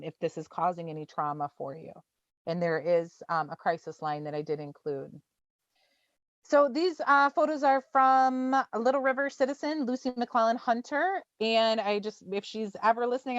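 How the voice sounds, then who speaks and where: A forty-year-old woman presenting at an online town hall, speaking informally and gently with many ums. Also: medium pitch. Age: 40s. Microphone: video call. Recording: dull, dry video-call audio.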